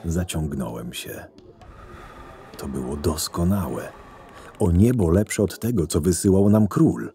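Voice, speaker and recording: A man playing a shrewd, sardonic right-hand man to a camp boss, narrating a fantasy audio drama in a measured way. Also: low voice, dark tone, a little hiss or hum. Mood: sardonic, reflective. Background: music.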